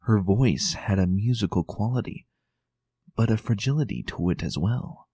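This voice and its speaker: A man narrating a dramatic fan fiction reading in a calm, measured way. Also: fairly low pitch, slightly rough, no echo. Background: faint music.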